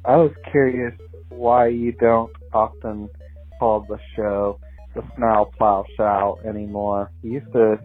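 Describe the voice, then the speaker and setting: A man's voice: fairly low pitch, flat delivery, slow pace, slightly rough, dry recording, soft background music. Mild Texan man on a phone line. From Texas. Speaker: caller. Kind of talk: voicemail question. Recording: tinny phone-line voicemail.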